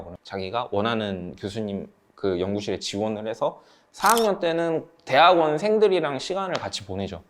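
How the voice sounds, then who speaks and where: A man in his thirties from South Korea telling personal stories in a casual group chat. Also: on the low side. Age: 30s. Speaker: channel member sharing study-abroad experience.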